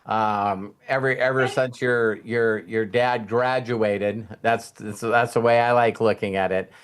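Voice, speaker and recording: A man hosting an online group call, speaking in a casual, heartfelt way, halting and repeating phrases for emphasis. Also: on the low side, fairly even intonation, clear, resonant tone. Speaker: host of an online community call.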